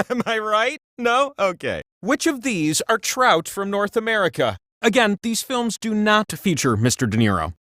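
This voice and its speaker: Boastful talking wheel, male game-show host, high voice, wildly animated pitch, theatrical, playful, self-important, video game. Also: dry recording, clean studio sound.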